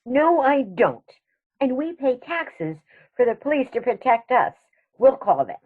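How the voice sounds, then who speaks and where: Through a thin phone-like line comes a prim elderly aunt, played by a woman in a radio comedy. Her husky voice is lively and proper, scolding in a matter-of-fact way. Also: on the high side, a little slow, exaggerated voice. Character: prim, indignant elderly aunt, serenely murderous. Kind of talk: radio play acting.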